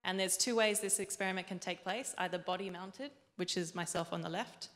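A young woman explains her robotics work to a live audience, speaking clearly into a handheld mic and sometimes correcting herself mid-sentence. Her voice sits fairly low and rings with a long reverb from the big hall around her. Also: fairly even intonation, fairly quick, a bit bright. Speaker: robotics engineer giving a talk. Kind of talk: conference presentation. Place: large event hall with audience.

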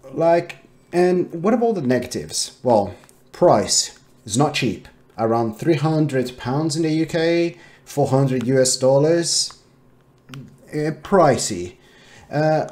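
A man in his thirties giving a casual product review to camera, talking in a halting way as he thinks aloud. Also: mid-range voice, darkish tone. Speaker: tech reviewer. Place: small home office room, indoors.

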